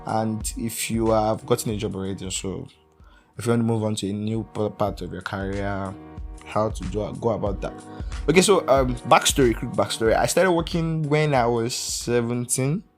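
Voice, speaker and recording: A man's voice: on the low side, moderate pace, faint music behind. A young man in his early twenties hosting a casual solo podcast, rambling, correcting himself and laughing often. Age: young adult. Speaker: podcast host.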